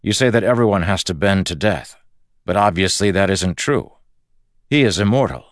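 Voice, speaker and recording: Audiobook rogue, read by a male narrator: cocky, determined crew boss, brisk and insistent, wry edge, very dry recording. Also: low voice, animated, no room sound, pitched above the performer's own voice. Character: cocky, determined, irreverent dead man, crew leader.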